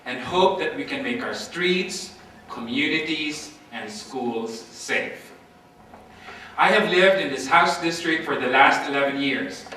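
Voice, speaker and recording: Emphatic campaign speech, echoey and distant. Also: male voice, fairly high pitch, sharp tone, slight background noise. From Philippines. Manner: formal, emphatic oratory with lists. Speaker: political candidate. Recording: echoey amateur recording from far off.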